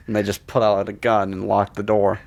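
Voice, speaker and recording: A man's voice: on the low side, level tone, brisk, creaky, darkish tone. A man co-hosting a casual comedy podcast, rambling and sweary, speaking close to the microphone. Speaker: podcast co-host.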